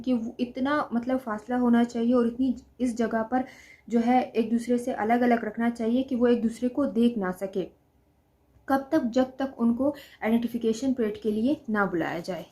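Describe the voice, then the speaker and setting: A woman's voice: on the high side, dark tone. A woman with a Pakistani accent lecturing on study notes, reading them out and explaining in a didactic way with repetition and rhetorical questions. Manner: didactic, repeats points and asks rhetorical questions. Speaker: law lecturer.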